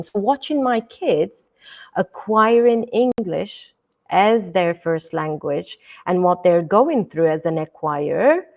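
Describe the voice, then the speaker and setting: Slow-talking Turkish woman educator. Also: medium pitch, darkish tone. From Turkey. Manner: thoughtful, many fillers, repeats "et cetera". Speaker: guest educator. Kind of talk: podcast interview.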